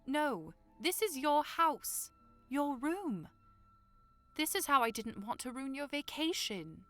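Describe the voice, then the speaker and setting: A woman's voice: high voice, sing-song, slow pace, a bit bright, faint music behind, full character voice. A woman playing a spirited, curious young noblewoman in an audiodrama, teasing and insistent yet polite. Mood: stubborn, teasing. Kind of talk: audiodrama acting.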